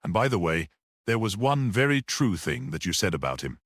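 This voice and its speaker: Storybook priest-detective, read by a man: mild, perceptive, unruffled, low-pitched with lively movement, dry close sound. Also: no echo. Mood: unruffled monologue. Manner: grave, measured, unruffled, almost dreamy.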